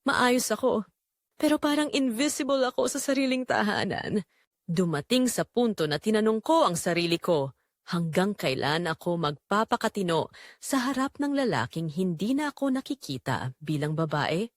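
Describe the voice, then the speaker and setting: Expressive Filipina wife, confiding, acted by a woman. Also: medium pitch, moderate pace, dry recording, clean studio sound, close to an everyday voice. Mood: wistful, hurt. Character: quiet neglected Filipina wife confessing her hidden longing. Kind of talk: first-person dramatic audio story narration.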